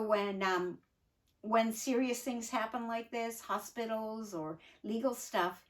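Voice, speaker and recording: Older woman reading her own memoir. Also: mid-range voice. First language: English. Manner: earnest, reflective, pauses for emphasis. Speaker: memoir narrator. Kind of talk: reading her own story aloud with asides.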